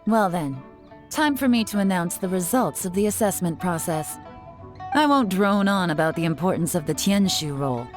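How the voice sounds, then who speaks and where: A woman's voice: mid-range voice, close to an everyday voice. A woman playing a cunning, composed intelligence chief in a video game, speaking with poised assurance.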